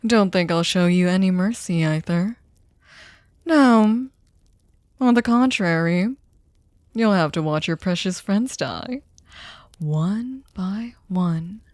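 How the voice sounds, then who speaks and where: A woman playing a sadistic, possessive, centuries-old vampire lord in an intimate ASMR roleplay, speaking theatrically and tauntingly, often dropping into breathy whispers. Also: fairly low pitch, a little mellow, dry recording, exaggerated voice. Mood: cold, menacing.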